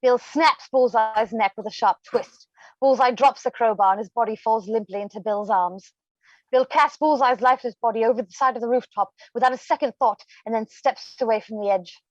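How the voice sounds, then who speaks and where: A woman's voice: fairly high pitch, a little fast, no room sound, highs cut off. A woman narrating scripted stage directions for a play reading.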